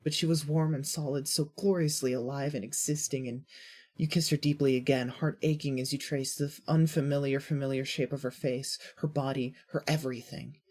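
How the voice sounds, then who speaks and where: A woman with a husky voice reading scripted fiction as a narrator. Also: very deep voice, fairly even intonation, fairly quick, a little mellow.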